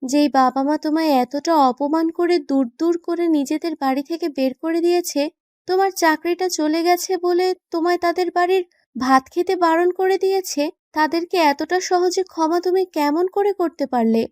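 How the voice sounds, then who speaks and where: A woman's voice: extremely high pitch, moderate pace, a little mellow, dry recording, studio quality, voice pushed up. A woman voicing an affectionate, playful young wife in a romantic audio drama, doting and teasing. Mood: curious, earnest.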